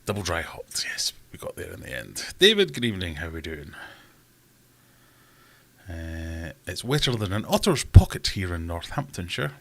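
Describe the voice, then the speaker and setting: A man's voice: low-pitched, big pitch swings, moderate pace, gravelly, no echo. A man hosting a casual livestream from home, rambling and self-deprecating as he reads out chat comments. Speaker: livestream host.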